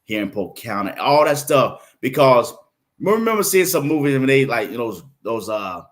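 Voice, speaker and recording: A man's voice: mid-range voice, moderate pace. Southern guy in his 50s, florida born, laid back podcast guest, slips into funny voices for quotes. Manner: animated storyteller, acts out quoted voices. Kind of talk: casual interview talk.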